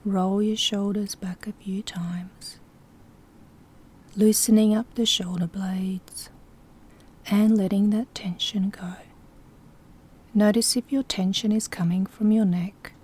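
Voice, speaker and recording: A soft-spoken woman with a breathy, whispery voice gently guiding a sleep hypnosis session in a soothing, instructing way. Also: medium pitch, steady tone, slow pace, long pauses. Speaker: hypnosis guide.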